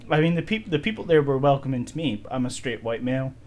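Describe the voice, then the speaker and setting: Halting Scottish man telling rambling stories. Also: medium pitch, animated. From Scotland. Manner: halting, rambling storyteller who laughs easily. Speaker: podcast co-host. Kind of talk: casual chat.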